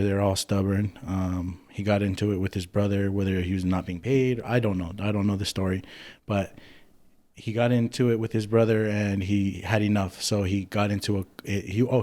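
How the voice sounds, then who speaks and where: A man's voice: on the low side, darkish tone. A man from Hawaii, a podcast guest who owns a clothing company. He tells family history in an easygoing, rambling way, with many ums and restarts. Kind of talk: casual storytelling about family history.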